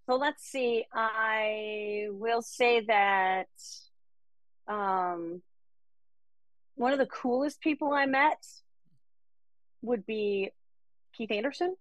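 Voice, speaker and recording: A woman's voice: fairly high pitch, extremely slow, long pauses, no room sound, rough recording. A woman from Denmark, a country singer-songwriter, chatting enthusiastically about artists she has opened for in a casual interview.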